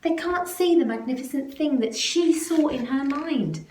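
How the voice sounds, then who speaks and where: A woman reading a children's picture book aloud in an expressive way, with deliberate, dramatic phrasing that leans on the action words. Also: high voice. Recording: roomy, echoey, faint background noise.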